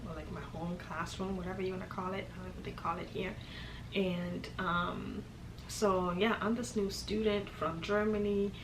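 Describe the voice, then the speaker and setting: A young woman from Kenya tells a personal story in a relaxed, chatty way, her voice sitting a little low. She laughs often. The room is echoey, and steady background noise sits behind her. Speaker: vlogger. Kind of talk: casual storytime chat.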